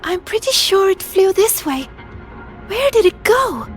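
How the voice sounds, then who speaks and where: An excitable, cheerful schoolgirl from a video game, with a very high, animated voice. She is bubbly and expressive, and music plays behind her. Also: a little slow, exaggerated voice. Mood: playful. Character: cheerful, excitable young female academy student.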